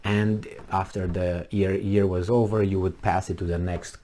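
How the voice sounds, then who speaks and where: A middle-aged man from Romania, talking casually to his subscribers in a halting way with many pauses and fillers. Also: low voice, flat delivery, mellow. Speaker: vlogger. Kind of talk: casual monologue to camera.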